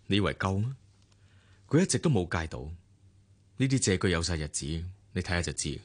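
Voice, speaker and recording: An actor with a Hong Kong Cantonese accent playing a bitter, insistent, aggrieved husband in a radio drama, with a husky voice. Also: male voice, low-pitched, expressive, a little fast.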